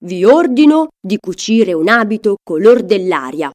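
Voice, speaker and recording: A woman's voice: mid-range voice, big pitch swings, unhurried, darkish tone. An ageing king in a fairy tale, read by a woman. He is solemn and commanding. Each line comes out firm and declarative.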